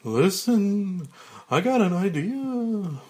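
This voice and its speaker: A brash, sly show-business boss, acted out by a man reading a script. He is pushy and smarmy, with a loud, raised voice. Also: sits high, big pitch swings, slow, voice pushed up, heavily acted. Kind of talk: screenplay read-through.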